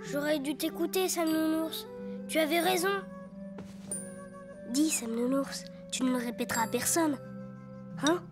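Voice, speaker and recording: A woman's voice: high voice, measured pace, long pauses, over music. A woman voicing a plucky, earnest little boy superhero in a cartoon, childlike and kind. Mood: sheepish, confiding. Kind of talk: cartoon voice acting.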